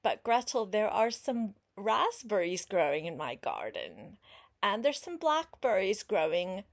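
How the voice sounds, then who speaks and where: An older woman reading a picture book aloud to a child like a grandmother, unhurried and expressive, pausing to explain words and ask questions. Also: mid-range voice. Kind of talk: storybook read-aloud with chatty asides to a young listener.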